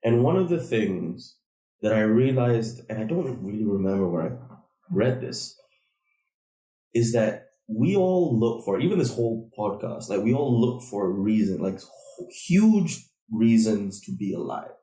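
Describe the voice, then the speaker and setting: A man on a casual podcast, thinking aloud in a measured, reflective way. His voice sits low and mellow, and there is noticeable room echo around it. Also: big pitch swings. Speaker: podcast co-host.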